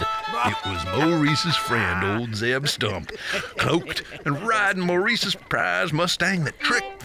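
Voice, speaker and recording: An actor playing a folksy American frontier storyteller spinning ghost tales theatrically in a radio drama, repeating words for suspense. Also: male voice, fairly high pitch. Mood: amused. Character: American frontier yarn-spinner telling tall ghost stories.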